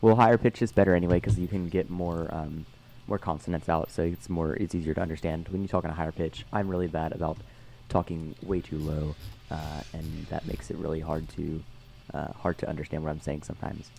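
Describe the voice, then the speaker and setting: Young male podcast co-host, very low gravelly voice, lots of fillers, faint background noise. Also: little pitch movement, mellow. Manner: halting, many fillers and restarts. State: voice tired after a concert. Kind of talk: casual podcast chat. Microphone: headphones on, remote call.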